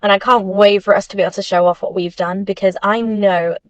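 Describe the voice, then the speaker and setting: A woman playing a confident, supportive teenage girl model in an animated school drama. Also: medium pitch, a little fast, no room sound, lo-fi sound, natural voice. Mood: determined. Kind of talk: animated game-series dialogue.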